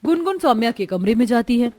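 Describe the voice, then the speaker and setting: A woman's voice: on the high side, lively intonation, fairly quick, warm tone. A woman narrator reading a scripted cartoon family story. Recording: music behind the voice.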